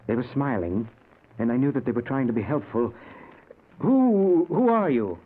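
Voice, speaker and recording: An actor playing a dazed, newly dead man in a 1940s radio suspense drama, with a dramatic, halting, anguished delivery. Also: mid-range voice, sing-song, phone-quality sound. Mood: bewildered. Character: dazed, panicked newly dead man narrating his own afterlife.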